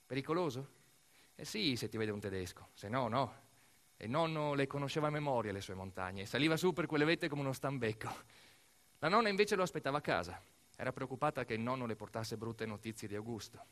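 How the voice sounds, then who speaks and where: A man performs a dramatic storytelling monologue for radio theatre, emphatic and full of deliberate pauses. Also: mid-range voice, expressive, fairly bright tone. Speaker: actor-narrator of a theatrical monologue.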